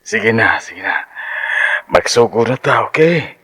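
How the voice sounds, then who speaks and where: A man's voice: lowish voice, lively intonation, slow, fairly bright tone, husky, exaggerated voice. An actor playing a pushy, amorous man of sixty-three in a radio drama, with a Central Visayan Filipino accent. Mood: coaxing, lecherous. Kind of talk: Cebuano radio drama acting.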